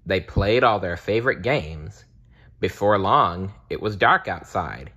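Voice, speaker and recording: Male children's read-aloud narrator: deliberate and expressive, unhurried, with a bright, raspy edge. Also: low voice, highs cut off. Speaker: storybook reader.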